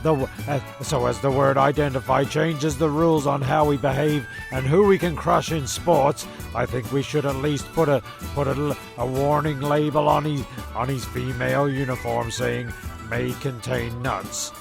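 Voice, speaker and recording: A man's voice: mid-range voice, normal speed, creaky, few pauses. A man playing an exasperated, sweary narrator of a comic radio play, stammering and rambling over music. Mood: exasperated, ranting. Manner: stammering, ranting, deadpan asides. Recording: prominent music under the voice.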